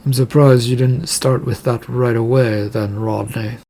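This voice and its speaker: A man's voice: medium pitch, steady tone, a little slow, dark tone. A man voicing a troubled, insistent male shipping company boss in a story, with an urgent, grumbling manner. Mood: anxious.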